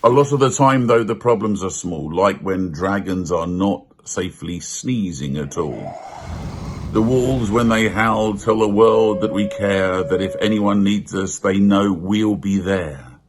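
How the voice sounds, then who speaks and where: A man voicing a kind, reassuring firefighter wolf dad in a children's storybook, with a husky voice and gentle, measured manner. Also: lowish voice. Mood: earnest, comforting.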